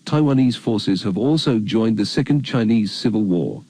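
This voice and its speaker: A man reading scripted narration for an educational documentary filmstrip in a grave, measured, matter-of-fact manner. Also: fairly low pitch, lively intonation, very noisy.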